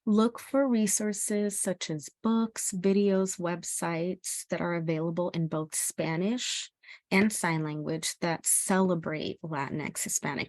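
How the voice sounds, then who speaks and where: A woman's voice: lowish voice, a little mellow, dry recording. A middle-aged woman from El Salvador giving an informal educational presentation as a parent, speaking in a hesitant, earnest way.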